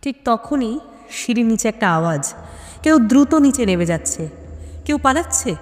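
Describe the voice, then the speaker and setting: A woman narrates a suspense story dramatically, with deliberate phrasing and weighty pauses. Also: fairly high pitch, very animated, faint music behind. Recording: long hall-like reverb on voice.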